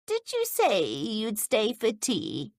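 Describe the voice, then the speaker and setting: A woman voicing a frail, forgetful old woman with a quavery, sing-song voice who rambles slowly. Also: on the high side, huge pitch swings, no room sound, pitched above the performer's own voice, exaggerated voice. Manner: rambling, slow.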